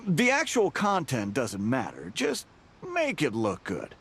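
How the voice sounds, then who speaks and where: An actor playing a demanding, patronizing company boss in a video game, with a condescending, theatrical delivery. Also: male voice, medium pitch, wildly swooping pitch, measured pace, a bit bright, slightly rough, exaggerated voice. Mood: patronizing, critical. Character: demanding, patronizing male company boss who turns flustered. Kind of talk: animated video game voice acting. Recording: music playing behind the voice.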